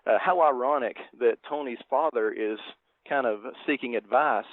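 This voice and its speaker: A man co-hosting a podcast, casually discussing a film in a halting, reflective, appreciative way. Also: medium pitch, dry recording. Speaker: podcast co-host. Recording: thin phone-like line, dry.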